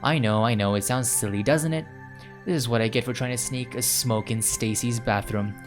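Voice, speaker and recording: A man's voice: mid-range voice, normal speed, music in the background, close to an everyday voice. A man voicing a chatty, flustered, scatterbrained young woman in a visual novel, rambling and gushing. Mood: sheepish.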